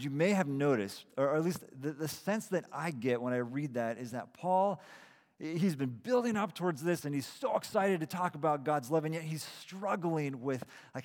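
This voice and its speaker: A man of about forty giving a casual, self-deprecating sermon as pastor, with frequent restarts. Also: a little high. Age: 40s. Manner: conversational, self-deprecating preaching with repeated starts. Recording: long hall reverb.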